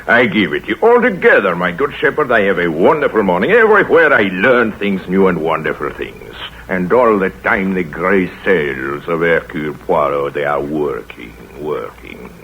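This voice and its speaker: An actor playing a fussy, courteous detective with a French-speaking foreign accent, speaking formal, broken English in a 1940s radio drama. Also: male voice, sits low, very animated, moderate pace, few pauses, a little hiss or hum. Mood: courteous, probing. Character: fussy, polite Belgian detective, middle-aged man. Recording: dull old radio broadcast recording.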